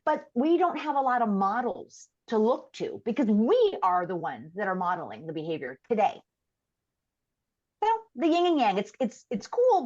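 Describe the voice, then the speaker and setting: Animated woman in her fifties. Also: on the high side, lo-fi sound. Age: 50s. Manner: animated and emphatic, quick to laugh. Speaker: guest. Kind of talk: casual podcast conversation.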